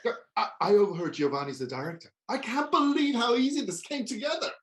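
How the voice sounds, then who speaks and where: A woman's voice: fairly high pitch, expressive, fast, lightly acted. A woman playing an upbeat, unworried and skeptical junior colleague in a comedy table read. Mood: excited. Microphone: video call.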